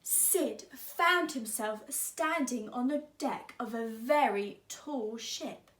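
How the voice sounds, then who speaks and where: Slow, higher-voiced woman teacher, echoey room. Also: fairly bright tone. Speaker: teacher reading to pupils. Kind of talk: expressive children's story reading.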